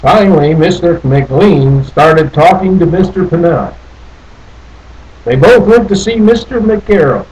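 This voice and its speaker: Slow-paced man reading a children's storybook. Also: on the high side, expressive, a little mellow, rough recording. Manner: drawn-out, folksy, playful delivery with little asides. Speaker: storybook reader. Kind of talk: animated read-aloud of a children's picture book.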